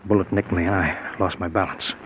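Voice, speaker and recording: A man plays a cold, commanding scientist villain in a 1940s radio thriller. His voice is on the low side and he brushes off all objections with full confidence. The recording is dull, with some noise behind it. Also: steady tone, fast talker, close to an everyday voice. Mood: strained, matter-of-fact. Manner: dismissive, self-assured. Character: cold, commanding male scientist turned invisible killer.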